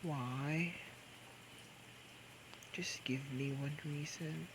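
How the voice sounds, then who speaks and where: A man playing a drunk, clingy, playful young boyfriend in an intimate ASMR roleplay, with slurred, coaxing, halting speech. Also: a little high, steady tone. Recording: noisy homemade microphone recording.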